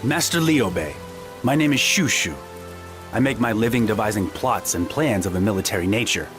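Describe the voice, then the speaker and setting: An actor playing a polite, self-assured military strategist who talks with hesitant fillers and wry courtesy. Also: male voice, fairly low pitch, animated, normal speed, natural voice. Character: eccentric polite male strategist, confident. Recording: game audio with loud music.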